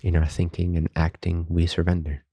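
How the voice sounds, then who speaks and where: A man guides a meditation in a very low, mellow voice. He speaks softly and calmly, leaving long pauses between reverent phrases. His delivery stays very even, close and dry. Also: husky, dry recording. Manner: calm, unhurried, reverent spiritual phrasing. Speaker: meditation teacher.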